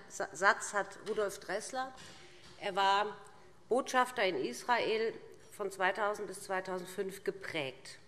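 A middle-aged woman delivers a formal political address from a lectern. Her pitch sits in the middle range and her phrasing is deliberate and emphatic. The large chamber adds a long reverb to her words. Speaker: parliamentary speaker for a party group. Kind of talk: formal prepared political speech. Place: large indoor parliament chamber. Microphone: lectern microphone.

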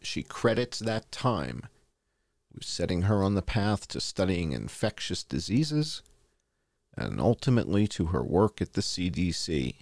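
A man with a very low voice reads an article aloud as a host. His voice has a gravelly creak and moves through lively rises and falls. The sound is dry and close. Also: unhurried, no echo. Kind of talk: read-aloud article narration.

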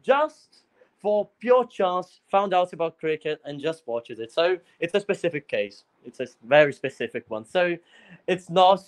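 A man's voice: a little high, animated, a little slow. Brazilian man telling a funny story. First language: Portuguese. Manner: laughing, playful storytelling. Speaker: caller. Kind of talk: casual call-in chat. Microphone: video call.

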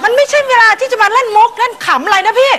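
An actress playing an indignant, spoiled younger sister who whines and shouts at her big brother. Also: a little high, sing-song, fast talker, over a noisy crowd, heavy background noise. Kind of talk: sitcom acting.

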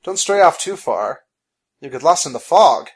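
A narrator voicing a bossy, easily flustered gentleman adventurer in a storybook, pompous and blustering. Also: mid-range voice, very animated, moderate pace, breathy edge. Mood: dry.

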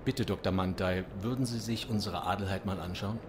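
An actor playing a polite, concerned gentleman lawyer in a period audio drama, speaking formally and earnestly. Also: low-pitched, steady tone, a little fast, noisy background, close to an everyday voice. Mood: troubled, earnest. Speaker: lawyer character in a radio drama. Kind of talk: German audio drama acting.

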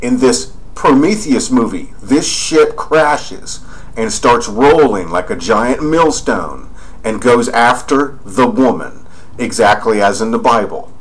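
Guy talking over pictures on his screen, rambly, points stuff out, mid pitch. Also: expressive, slow pace, rough recording. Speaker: video narrator. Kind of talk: commentary over screen images.

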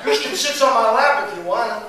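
A man's voice: very high-pitched, big pitch swings, brisk, a loud crowd. A man playing a leering, wheedling, slangy pixie in a comic stage play. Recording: echoey stage from far off, music behind.

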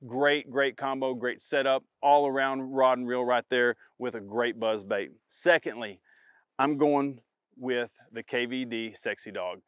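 A middle-aged man, a professional bass angler, explains his fishing setups to camera outdoors in the woods in a confident, emphatic way, stressing and repeating his key points. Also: fairly even intonation, a little slow, clean tone, no echo. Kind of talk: instructional product demo to camera. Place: outdoors in a spring woodland.

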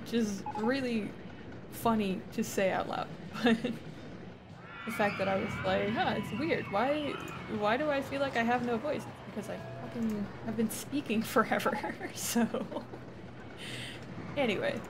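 A woman talks casually while streaming a game, her voice a touch high and slightly hoarse. She laughs often and swears freely. Steady background noise runs under the home mic recording. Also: unhurried. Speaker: livestreamer. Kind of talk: casual gameplay chat.

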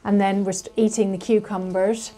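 A woman's voice: mid-range voice, little pitch movement, normal speed, warm tone, poor audio. A woman in her thirties giving a casual walk-through tour of her home garden and greenhouse, chatty and hesitant with many fillers, recorded outdoors on a camera mic while she walks.

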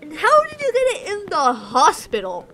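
A woman's voice: high-pitched, wildly swooping pitch, normal speed, fairly bright tone, slight background noise, heavily acted. A woman voicing a sardonic cartoon teen boy in a fan dub of comic strips, making dry, sarcastic remarks.

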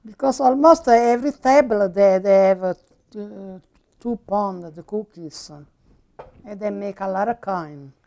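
An older woman with an Italian accent, a native Italian speaker, chatting casually about cooking in halting, simple broken English. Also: medium pitch, dark tone. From Puglia, Italy. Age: older, grandmother. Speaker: grandmother cook on a cooking show. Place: home-style kitchen, indoors.

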